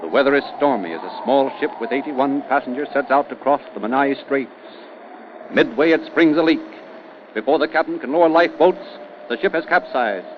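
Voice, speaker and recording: A man's voice: mid-range voice, background noise. Theatrical male old-time radio narrator. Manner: theatrical, suspenseful, deliberate. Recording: dull old radio recording. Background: music.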